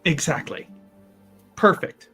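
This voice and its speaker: A man's voice: takes it slowly, pushed and bright. A man playing a tabletop roleplaying game, improvising in character with enthusiasm. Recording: background music.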